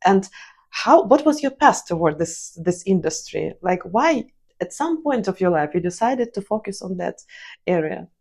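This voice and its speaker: A woman's voice: mid-range voice, sing-song, normal speed, creaky, a little mellow. Ukrainian-accented woman podcast host. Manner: long rambling questions with fillers. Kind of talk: interview conversation.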